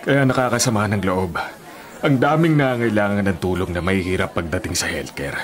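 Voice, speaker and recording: An actor playing a sympathetic, indignant Filipino delivery rider, an older friend, in a plain-spoken radio drama delivery. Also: male voice, low voice, a little fast, some chatter behind, lightly acted. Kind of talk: Tagalog radio drama acting.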